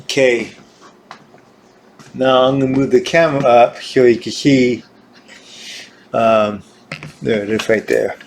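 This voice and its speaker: A man talks very slowly through an informal equipment demonstration. He pauses often, searches for words and repeats phrases. There is clear background noise around his mid-pitched voice. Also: fairly even intonation. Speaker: gear demonstrator.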